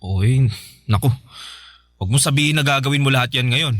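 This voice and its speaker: Actor as fast, bright-voiced pleading Filipino boyfriend. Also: male voice, medium pitch, dry recording, natural voice. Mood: complaining. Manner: whiny, pleading, protesting. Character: neglected, pleading young boyfriend, hurt and protesting. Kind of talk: Filipino radio drama acting.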